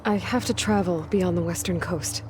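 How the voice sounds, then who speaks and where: A woman's voice: lowish voice, moderate pace, background noise, natural voice. A woman playing a determined, guarded young hunter in game dialogue, matter-of-fact and understated, with music behind. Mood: businesslike. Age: young adult. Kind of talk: video game cutscene dialogue.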